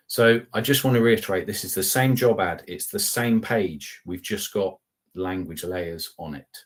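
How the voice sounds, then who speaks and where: A man's voice: deep voice, husky. A middle-aged man presenting a product webinar over a video call, talking conversationally with polite asides, fillers and restarts. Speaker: customer success manager presenting a webinar. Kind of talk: live product webinar with slides and screen share. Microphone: video call webcam.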